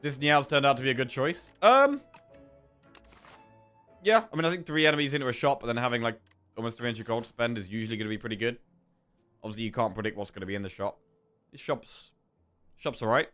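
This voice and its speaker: A man's voice: medium pitch, fast talker, long pauses, a bit bright, clear, resonant tone, faint music behind. A man with a sore throat giving casual gameplay commentary as a streamer, thinking aloud through his choices. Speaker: game streamer.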